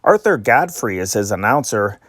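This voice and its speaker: Man, host, steady read-aloud delivery, dry recording. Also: fairly low pitch, moderate pace, breathy edge, no echo. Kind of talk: scripted program introduction.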